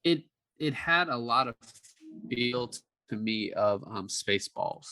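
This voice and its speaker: A man's voice: medium pitch, lively intonation, takes it slowly, pushed and bright. A man co-hosting a casual film review podcast, chatting in a jokey way. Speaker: podcast co-host.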